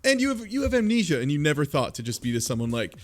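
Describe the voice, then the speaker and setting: A man's voice: fairly high pitch, very animated, fast. A man on a podcast panel riffing casually on a game, sweary and sarcastic, acting out imagined lines. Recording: music under the voice. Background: music.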